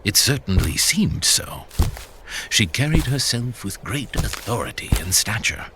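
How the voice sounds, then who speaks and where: A man's voice: mid-range voice, sing-song, measured pace, noisy background. A man playing a grave storyteller who narrates an epic fantasy audio drama. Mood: grave, dramatic.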